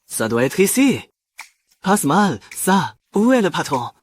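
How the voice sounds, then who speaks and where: A man voicing a cocky, crude cat who was once human, talking to himself boastfully and excitably in a dubbed cartoon. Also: sits high, measured pace, exaggerated voice. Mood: gleeful boasting. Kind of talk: dubbed animation monologue.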